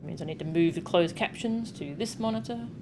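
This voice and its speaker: Lower-voiced woman streaming, with background noise. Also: a little fast. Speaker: game streamer. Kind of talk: casual live stream chat, reading game text aloud.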